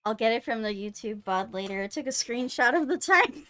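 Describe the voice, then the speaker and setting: Expressive, swearing woman on a game stream. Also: fairly high pitch, fairly quick. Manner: profane, teasing, expressive. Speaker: streamer co-playing a visual novel. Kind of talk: casual live stream reaction chat.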